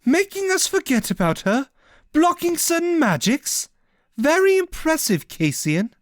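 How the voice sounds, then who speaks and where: A storyteller voicing a feverish young woman mage who speaks in a sharp, strained, defiant way. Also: sits high, big pitch swings, a little slow, no echo, studio quality, pitched above the performer's own voice. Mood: scornful.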